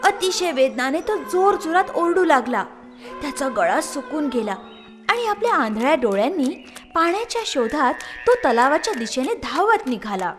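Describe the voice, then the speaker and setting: A woman narrates a children's story in a high voice. Her pitch moves in an animated way, and soft music plays behind her. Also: moderate pace.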